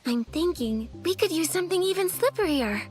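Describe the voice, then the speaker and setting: A woman's voice: very high voice, lively intonation, a little fast. A woman voicing a kind, earnest teenage girl heroine with an encouraging manner in a video game cutscene. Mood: eager, resolved.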